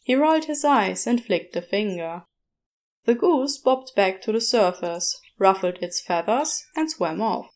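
A woman reading a story aloud as narrator, in an unhurried, measured way. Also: medium pitch, animated, a little mellow.

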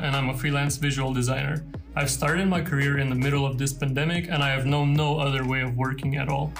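A man's voice: a little high, fairly bright tone. Young man, casual and rambling. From Lithuania. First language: Lithuanian. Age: 20s. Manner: casual, rambling with many fillers and light laughs. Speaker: freelance designer interviewee. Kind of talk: documentary interview.

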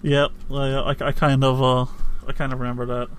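A man's voice: mid-range voice, little pitch movement, a little fast. A man co-hosting a podcast in casual chat, reacting briefly and a little hesitantly. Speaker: podcast co-host. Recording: faint background noise.

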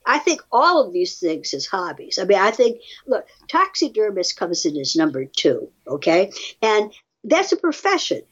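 A woman with a husky voice chatting casually as a podcast guest, musing aloud with firm opinions and rhetorical questions. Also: medium pitch, sing-song, normal speed, fairly bright tone, highs cut off. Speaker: podcast guest reacting to research studies. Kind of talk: casual conversational commentary.

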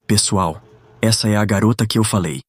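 A man's voice: lowish voice, brisk, lightly acted. A man playing a confident, popular schoolboy in an audio drama.

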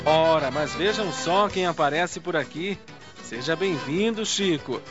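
A man's voice: on the high side, lively intonation, a little slow, over music. A man in a radio drama playing a jovial, boisterous drinking buddy who is a bad influence. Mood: jovial, coaxing. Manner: boisterous, hearty. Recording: dull lo-fi audio.

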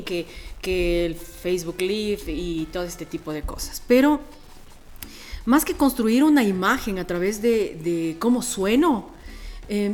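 A woman with an Ecuadorian accent and a deep, strong voice, chatting casually and colloquially as a radio presenter telling stories in an animated way. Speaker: radio presenter being interviewed. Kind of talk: casual podcast chat. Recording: music playing behind the voice. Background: music.

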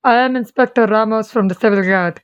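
A woman's voice: a little high, fairly quick, clear, resonant tone, no room sound, muffled recording, close to an everyday voice. A woman playing a formal police inspector in an audio drama. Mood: formal, firm.

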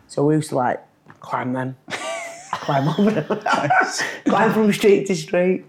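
A woman's voice: deep voice, lively intonation, slow, noisy background. A middle-aged woman from Leeds with a Yorkshire accent, a podcast guest recalling her childhood in a chatty, laughing way. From Leeds, England. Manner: chatty storyteller, laughs often. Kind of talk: casual podcast interview.

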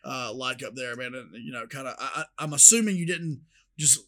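A man's voice: medium pitch, a little fast, bright, forceful tone. A man with a Southern American accent, chatting casually as an interviewer. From Southern United States. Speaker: interviewer, host. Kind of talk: casual chat.

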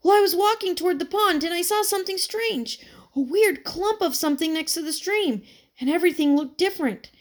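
A woman voicing an excited young boy in a storybook reading, talking fast and breathless. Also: very high-pitched, pitched above the performer's own voice. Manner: breathless, rushed.

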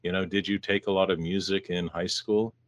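Brief: relaxed male interviewer, low-pitched, dry close sound. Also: a little fast, no room sound, dull audio. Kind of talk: casual interview questions.

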